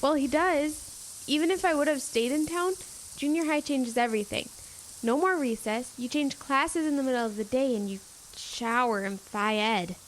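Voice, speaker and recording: A young female performer playing a sincere, reluctant preteen girl who is gentle with friends in an audio drama. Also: high-pitched, normal speed, slight background noise, natural voice, rough recording. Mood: sad, earnest. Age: child. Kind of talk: youth audio drama acting.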